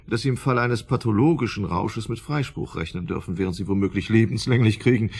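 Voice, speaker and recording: Husky condescending shrink type in a radio play, blunt, sarcastic, talks down to people. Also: male voice, lowish voice, a little fast, darkish tone. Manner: sarcastic, patronising, colloquial. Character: sardonic, condescending male psychiatric expert, blunt and mocking. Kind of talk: German radio drama acting.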